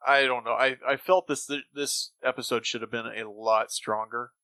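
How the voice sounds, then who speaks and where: A man's voice: mid-range voice, fairly even intonation, normal speed, sharp tone, no echo. A man co-hosting a podcast, giving his opinions in casual discussion. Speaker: podcast co-host. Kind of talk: casual critical discussion of old radio shows.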